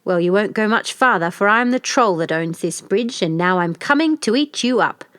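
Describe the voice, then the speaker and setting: Woman acting out storybook troll, male monster, loud, blustering, menacing, widely swooping pitch, dry recording. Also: lively intonation, normal speed, no room sound, natural voice. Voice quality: loud, booming shout. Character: great terrible grey male troll, bellowing bully.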